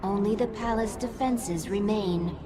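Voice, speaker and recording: A woman's voice: measured pace, lightly acted. A woman playing a loyal lieutenant of an alien swarm in a video game, reporting formally. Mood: dutiful. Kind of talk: video game cutscene acting. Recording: clearly noisy, rumbling background.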